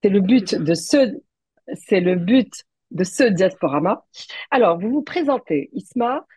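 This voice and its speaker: A woman hosting an interview show, speaking in a measured, polite way with some fillers and restarts. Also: medium pitch, expressive, darkish tone, husky, no room sound. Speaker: show host.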